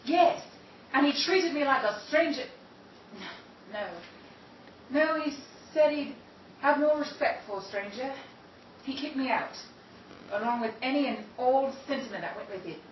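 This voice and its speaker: An actress playing a bitter, estranged daughter in a 1930s-style radio drama, with sharp, indignant retorts. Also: female voice, on the high side, echoey room, distant mic, highs cut off, a little hiss or hum, natural voice.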